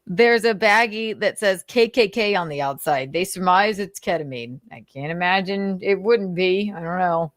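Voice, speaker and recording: A woman's voice: on the low side, moderate pace, hardly any pauses, dry recording, clean studio sound. A woman reading notes aloud with casual, sardonic commentary, swearing freely and talking conversationally. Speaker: host of a commentary show.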